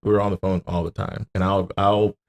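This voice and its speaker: A man's voice: low voice, flat delivery, moderate pace. A man in a casual podcast chat, slangy and emphatic, telling exasperated stories with repetition and swearing. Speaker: podcast guest.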